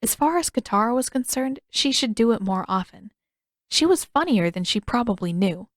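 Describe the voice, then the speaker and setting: A woman's voice: fairly high pitch, lively intonation, moderate pace, no room sound, clean studio sound. A woman reading a scripted story aloud as an audiobook narrator. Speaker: fan fiction author reading own story.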